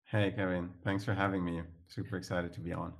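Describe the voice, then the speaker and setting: A man's voice: low voice, level tone, fairly quick. A man from Switzerland with a Swiss accent, a startup founder talking as a guest in a casual interview, hesitant with many fillers and restarts.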